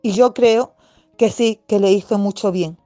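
A woman's voice: mid-range voice, a little slow, dark tone, soft background music. A middle-aged woman from Madrid with an accent from Spain, giving a personal devotional testimony. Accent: Spanish from Spain.

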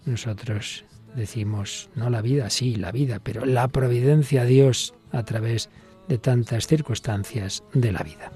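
A man, a priest, hosting a religious radio talk show in a measured, reflective way. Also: mid-range voice, over music. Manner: measured, thoughtful, quoting songs and authors.

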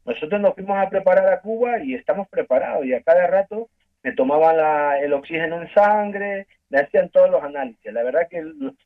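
A man from Ecuador with an Ecuadorian accent, earnestly telling his story as a guest in a phone-in interview, halting and restarting often. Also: fairly high pitch. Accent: Ecuadorian Spanish. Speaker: guest giving a testimony. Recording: tinny phone line.

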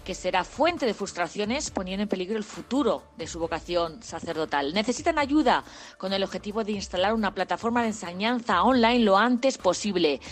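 Female newsreader for radio, average pitch, reading from a script. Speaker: news reader.

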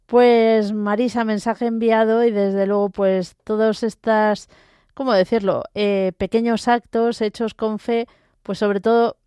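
A woman's voice: mid-range voice, darkish tone, smooth, no room sound. Spanish woman radio host, dry studio sound. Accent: Castilian Spanish. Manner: colloquial, frequent backchannels and exclamations. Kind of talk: casual phone-in chat.

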